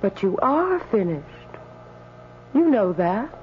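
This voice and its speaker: An actress playing a sweet, coolly teasing spirit from the afterlife in a radio drama, matter-of-fact and coaxing. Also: female voice, mid-range voice, sing-song, slow. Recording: dull radio broadcast, faint mains hum.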